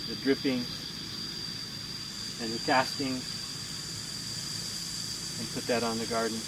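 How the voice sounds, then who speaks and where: An older man talks through his rural homestead outdoors, with a plain, practical manner and an even, mid-range voice. The camera sits a few meters off, and insects and rural sounds come through clearly behind him. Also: medium pitch, heavy background noise. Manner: plain, practical, explains step by step. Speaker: homesteader giving a property tour. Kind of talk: casual walking tour explanation. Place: outdoors on a rural homestead with rice fields around.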